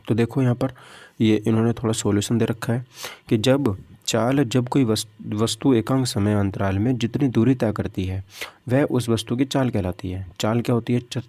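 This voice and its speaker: A man's voice: lowish voice, warm tone, dry recording. A man teaching a lesson through practice questions, repeating himself and answering his own questions. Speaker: physics teacher for exam coaching.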